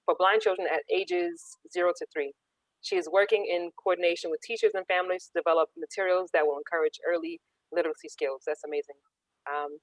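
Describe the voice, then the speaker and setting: Female event host, scripted introductions, a touch low in pitch, dry close sound. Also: no room sound, rough recording. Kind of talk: scripted biography read aloud.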